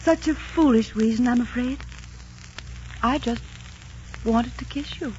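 A woman's voice: on the high side, a little slow, darkish tone, lightly acted. An actress playing a proud, fiery, lovestruck young woman in melodramatic 1940s radio romance style. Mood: tender urgency. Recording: noisy, muffled 1940s radio recording.

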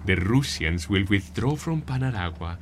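A man playing an earnest, scheming Latin American rebel leader, speaking emphatically and deliberately in an audio drama. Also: lowish voice, expressive, slight background noise. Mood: resolute, scheming.

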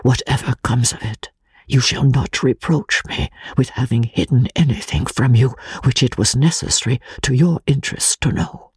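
A man playing an anguished, honour-bound foreign gentleman who makes a fearful confession in a hushed, pleading voice, in a dramatic audiobook reading. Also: mid-range voice, normal speed, slightly rough, dry recording. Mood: hushed, grave. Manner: hushed, emphatic, solemn pleading.

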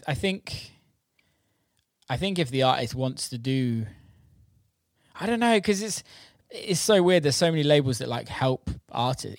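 A man's voice: medium pitch, no echo, studio quality. A man hosting a podcast, talking in a casual, rambling, informal way about his line of work. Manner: informal, rambling, occasional swearing. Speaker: podcast host and music producer who runs a record label. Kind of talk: casual podcast chat about the music scene.

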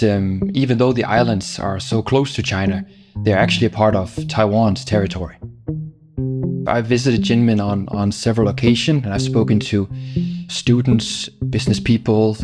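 A man who writes about the islands, explaining their situation in a measured way, with pauses and fillers. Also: fairly low pitch. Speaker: journalist guest. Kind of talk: explanatory interview answers.

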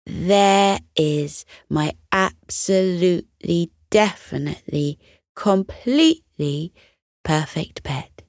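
A woman's voice: lowish voice, lively intonation, takes it slowly, a little mellow, dry recording, studio quality. Woman putting on an earnest, eager little boy.

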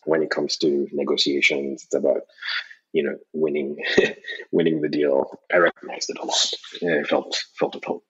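A man's voice: extremely low pitch, little pitch movement, normal speed, fairly bright tone, slightly rough. A man from Nigeria who founded a company, answering interview questions frankly and confidently with occasional chuckles. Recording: dull lo-fi audio.

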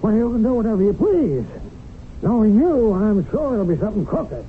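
An actor in a 1970s radio drama playing an excitable, gullible land buyer with a huffy, emphatic manner. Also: male voice, sits high, moderate pace. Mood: indignant. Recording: tinny band-limited old radio broadcast, hissy.

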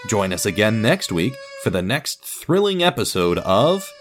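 Expressive, lowish-voiced man narrating. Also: unhurried. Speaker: narrator and host. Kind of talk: scripted dramatic story narration. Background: music.

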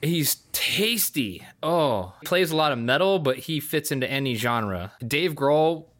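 A man's voice: a little high, expressive, a little slow, a bit bright. A man chatting casually and enthusiastically as a podcast co-host. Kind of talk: casual chat.

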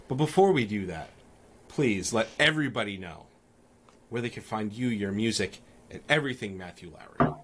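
A man's voice: fairly low pitch, animated, moderate pace, fairly bright tone, slight background noise. A man co-hosting a podcast, bantering with enthusiasm and jokes. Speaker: podcast co-host. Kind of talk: casual podcast chat.

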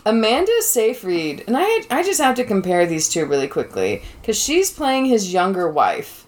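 A woman's voice: mid-range voice, wildly swooping pitch, moderate pace. Chatty woman in her thirties hosting. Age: 30s. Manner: chatty, laughs often. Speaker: podcast host and lecturer. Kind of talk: casual chat.

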